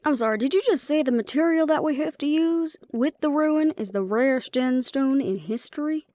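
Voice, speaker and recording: A woman's voice: high voice, moderate pace, darkish tone, close to an everyday voice. A woman playing a sardonic, impatient and proud female vampire in a fantasy audio roleplay, speaking with sarcasm and disbelief. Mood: incredulous. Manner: sarcastic, incredulous.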